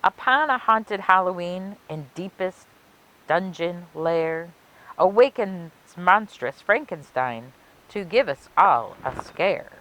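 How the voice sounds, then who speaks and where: A woman reading a children's picture book aloud as a library story time host, with expressive, deliberate storytelling. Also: fairly low pitch, a little hiss or hum, poor audio.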